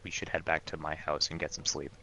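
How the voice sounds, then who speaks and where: A man's voice: rumbling low, little pitch movement, fast, vocal fry, bright, forceful tone, dull audio. A man playing a tabletop roleplaying game, casually describing what his character does, with some background noise.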